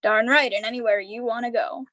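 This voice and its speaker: A woman's voice: a little high, steady tone, a little fast, a bit bright, no room sound, muffled recording, close to an everyday voice. A woman acting a smitten, earnest older man courting in a radio drama.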